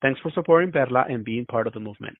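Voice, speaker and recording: A man from Peru, a brand founder reading a scripted sponsor ad in a brisk delivery. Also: mid-range voice, no room sound. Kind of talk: read sponsor ad. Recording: tinny dry phone-like sound.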